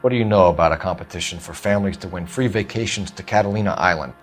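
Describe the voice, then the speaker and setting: An actor playing an earnest, stern young police detective in a 1940s crime game, formal and pressing. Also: male voice, low-pitched, quick pace, faint music behind, close to an everyday voice. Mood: accusing. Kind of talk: dramatic video game acting.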